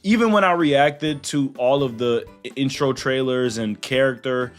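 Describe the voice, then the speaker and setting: A man's voice: medium pitch, moderate pace, soft background music. A young man giving casual, chatty let's play commentary, slangy and reacting aloud. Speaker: gaming YouTuber.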